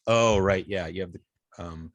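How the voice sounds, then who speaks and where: A man's voice: low-pitched, normal speed, dry recording. A man teaching an informal hands-on coding lesson, thinking aloud hesitantly and trailing off mid-sentence. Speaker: web design instructor.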